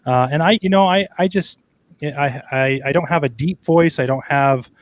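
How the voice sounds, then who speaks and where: A man's voice: a little high, no echo, highs cut off. A man hosting a casual parenting chat, often stumbling and repeating words as he talks. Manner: halting, repeats words, laughs easily. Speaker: host.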